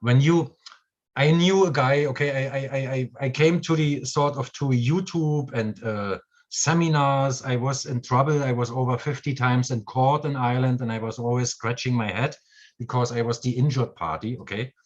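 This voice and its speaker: A man's voice: mid-range voice, steady tone, normal speed, dull audio. A man with a German accent, hosting a casual live stream and rambling with many fillers and restarts. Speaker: host.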